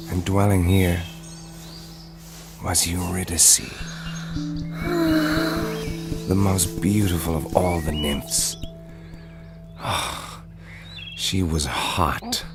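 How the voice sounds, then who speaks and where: A smitten mythic storyteller, low and creaky, savouring each phrase with wry romance. He narrates a comic audio drama at an unhurried pace over prominent music. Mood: earnest.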